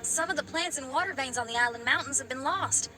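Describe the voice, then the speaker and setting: A woman playing an eager, polite, determined young girl adventurer in a video game, with a quick, earnest delivery. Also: high voice, lightly acted, poor audio. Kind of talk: video game character acting. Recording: game music playing behind voice.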